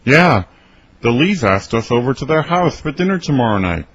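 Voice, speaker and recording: A man reading his part in a scripted English conversation for learners, his voice slightly husky. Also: mid-range voice, sing-song, moderate pace, a bit bright. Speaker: dialogue reader for English learners. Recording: dry, slightly dull studio recording.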